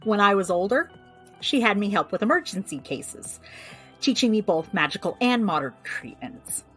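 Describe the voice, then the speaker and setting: A woman's voice: medium pitch, expressive, moderate pace, fairly bright tone, faint music behind, close to an everyday voice. An actress playing a chatty, wry young American woman who runs a magical family clinic, in an audio drama. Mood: reflective, fond. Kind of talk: audio drama, recording a personal log.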